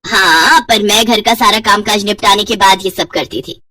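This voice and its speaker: A woman's voice: mid-range voice, normal speed, pushed and bright, recorded from a distance. A woman voicing an exasperated middle-aged mother who grumbles and nags in a Hindi cartoon story. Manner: grumbling, complaining.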